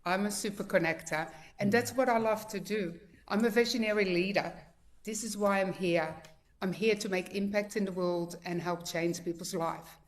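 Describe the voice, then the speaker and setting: A woman's voice: medium pitch, normal speed. A woman in her fifties from the Netherlands with a mixed Dutch accent, sharing her personal story as a guest speaker on a video call in a chatty, heartfelt way with many fillers. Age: 50s.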